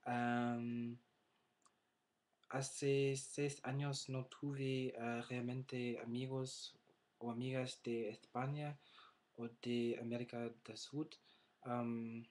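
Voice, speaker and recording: Hesitant young man, slow flat speech. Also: medium pitch. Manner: hesitant, many filler sounds, searching for words. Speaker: language learner. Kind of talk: casual webcam vlog. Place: small bedroom indoors. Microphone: laptop webcam.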